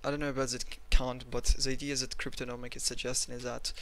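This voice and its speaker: A man with a Russian accent walks through market charts at his desk, rambling and self-mocking. His voice is gravelly and bright, recorded on a desk mic. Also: mid-range voice, flat delivery, fast. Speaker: trading analyst. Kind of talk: screen-recorded chart analysis.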